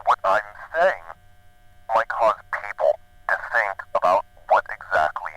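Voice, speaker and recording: A very deep, bright-edged voice from a man playing a secretive caller in an audio drama, slow and measured as he warns. The sound is dry and close. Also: lively intonation, no echo, rough recording. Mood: ominous. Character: secretive male caller warning of danger. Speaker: caller with inside knowledge. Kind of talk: radio drama acting.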